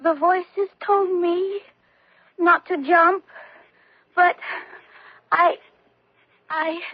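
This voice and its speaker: Fragile girl, very high, slow voice. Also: steady tone, dry recording, full character voice. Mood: anguished, dazed. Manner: halting, broken, earnest. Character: fragile, devout teenage French girl prisoner hearing voices. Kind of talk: 1970s radio drama acting. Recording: dry, muffled old radio recording.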